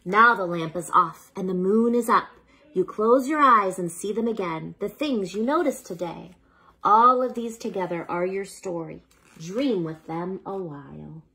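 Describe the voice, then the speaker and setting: A woman reading a children's bedtime story aloud in an unhurried, expressive way, as if speaking to a child, with a slightly husky voice. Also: mid-range voice, slight background noise, poor audio.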